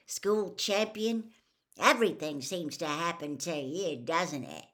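A woman voicing a huge, kindly, rough-spoken man in a storybook reading, with folksy, homely dialect speech. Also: lowish voice, slow pace, fairly bright tone. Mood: worried.

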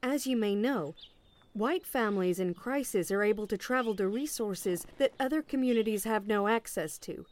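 An actress playing a polite, measured and officious government agent in an audio play. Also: female voice, mid-range voice, darkish tone, close to an everyday voice. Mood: polite, official. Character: polite, formal woman government agent on doorstep duty.

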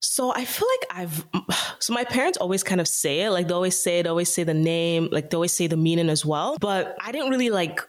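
A woman's voice: on the low side, big pitch swings, brisk. A young woman from Nigeria with a Nigerian accent mixed with Canadian, talking casually as an interview guest and filling her speech with "like" and laughs. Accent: Nigerian with Canadian mix. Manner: chatty, many "like" fillers, laughs often.

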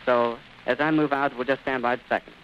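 A man's voice: medium pitch, fairly even intonation, a little fast, lo-fi sound, a little hiss or hum. An American man giving florid, descriptive live commentary as a 1930s radio reporter, on an old recording.

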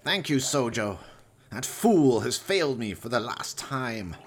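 A man's voice: medium pitch, sing-song, a little slow. A man playing an evil, stressed mad scientist in a radio play, speaking in grand, formal, theatrical diction. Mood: cold menace.

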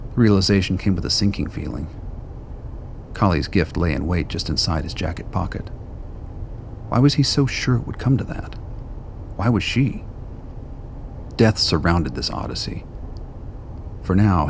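A man narrates a radio drama in a very low voice with a lot of gravel and fry in it. The delivery is scripted storytelling prose, with some background noise behind him.

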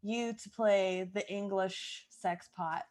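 A woman podcast host chatting casually and excitably with her group, jokey and loose with swearing. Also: mid-range voice, slow.

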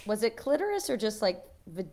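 A woman's voice: medium pitch, sing-song, brisk, darkish tone. A woman co-hosting a podcast, chatting informally about TV, feeling her way through thoughts and prone to giggling. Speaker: podcast co-host. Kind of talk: casual chat about a TV show.

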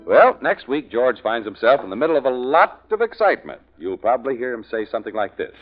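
Male 1940s radio announcer, expressive intonation, polished folksy pitch-man delivery, dull vintage broadcast, music behind. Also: fast talker.

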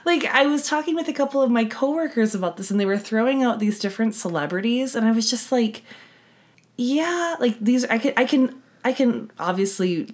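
A woman in her thirties co-hosting a podcast in casual chat, her voice low and husky from a cold, with a wry, dry manner and frequent laughs. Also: lively intonation, a little fast. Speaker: podcast co-host.

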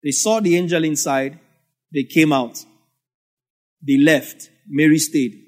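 A man's voice: a little high, fairly even intonation, slow pace, darkish tone. A man with a Nigerian English accent preaching a sermon as a pastor, telling the story in a conversational way with asides.

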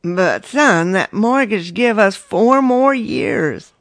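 A man's voice: sits high, animated, takes it slowly, fairly bright tone, no room sound, voice pushed up, full character voice. A man voicing worried, pleading farm women in an audiobook, anxious and insistent.

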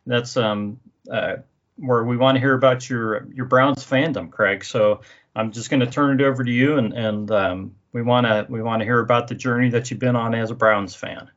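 A man hosts a podcast in a mid-pitched voice, rambling, chatty and loose. The audio is dull and lo-fi, with the highs muted. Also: fairly even intonation, moderate pace, a bit bright. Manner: rambling, many fillers and restarts. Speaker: podcast host. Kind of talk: casual podcast chat.

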